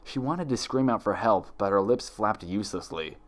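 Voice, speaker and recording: A man reading dark fan fiction aloud as dramatic, scripted horror narration. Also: lowish voice, lively intonation, moderate pace.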